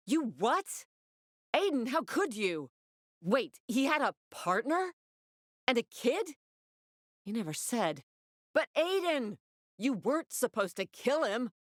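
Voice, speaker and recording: A woman's voice: on the high side, no echo, clean studio sound. A woman playing a tough, commanding, foul-mouthed military officer in a video game, with a clipped, no-nonsense delivery. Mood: shocked, frustrated.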